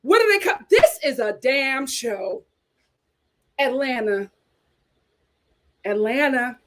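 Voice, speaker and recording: A woman's voice: sits high, sing-song, slow, very noisy. A woman hosting a casual reality TV reaction chat, talking back at the screen. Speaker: reality TV commentary host.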